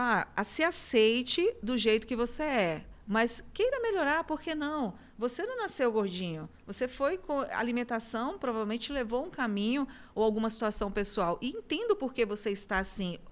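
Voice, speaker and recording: A talkative woman hosts a studio talk show with effusive warmth and frequent laughter. Her voice sits fairly high. She chats freely with her guest. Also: big pitch swings, fairly quick, hardly any pauses. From Minas Gerais, Brazil. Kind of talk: casual studio interview.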